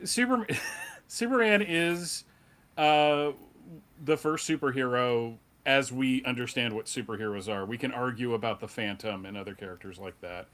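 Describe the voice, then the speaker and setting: A middle-aged man gives casual commentary on a fan panel. His delivery halts and rambles, with a wry edge, at an ordinary male pitch. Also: moderate pace, a bit bright.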